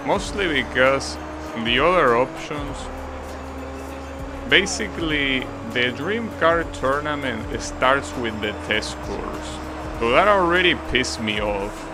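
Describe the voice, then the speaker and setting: A man with an Argentine accent, casually commenting on his racing game to a live-stream chat in a relaxed, musing way. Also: medium pitch, slow pace, over music.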